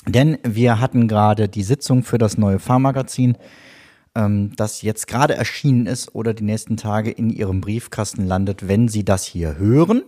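A man hosting a parish podcast, talking in a chatty, relaxed way with friendly asides. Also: on the low side, moderate pace. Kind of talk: casual podcast interview.

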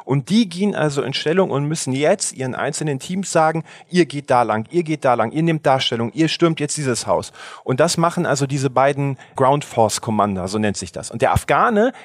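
A middle-aged man, a journalist, retelling events in an animated, conversational way with frequent restarts. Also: medium pitch, fairly quick, no echo, clean studio sound. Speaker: journalist and podcast guest. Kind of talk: conversational storytelling.

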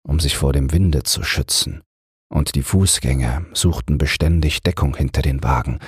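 A man narrating a fairy tale as a bedtime audiobook in a measured, soothing manner. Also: extremely low pitch, darkish tone, husky, no room sound, clean studio sound.

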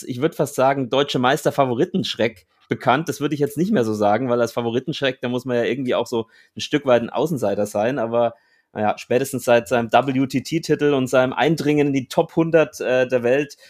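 Podcast host guy, mid voice, lots of ähms while he explains stuff. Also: medium pitch. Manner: explanatory, with frequent filler pauses. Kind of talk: podcast conversation.

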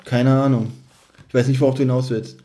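A man chatting casually as a podcast co-host, in animated, self-deprecating banter. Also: medium pitch, fairly quick. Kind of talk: casual episode discussion.

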